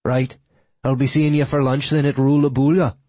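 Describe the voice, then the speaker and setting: A man voicing a brisk, probing werewolf lawyer in a faux Irish accent for a novel reading. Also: medium pitch, flat delivery, mellow, dry recording, pitched above the performer's own voice, natural voice. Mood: knowing, brisk.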